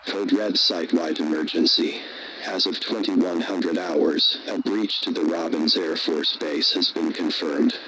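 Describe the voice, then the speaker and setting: A man's voice: low voice, noisy background, close to an everyday voice. A man playing a commanding military base announcer, giving formal, authoritative emergency orders from a script.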